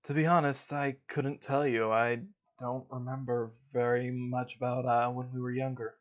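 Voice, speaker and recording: A man narrates a tabletop roleplay session as game master, easygoing and loose, dropping in little fillers as he describes the scene. His mic sounds a bit dull and lo-fi. His pitch sits in an ordinary middle range. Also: normal speed. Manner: relaxed, filler-heavy narration.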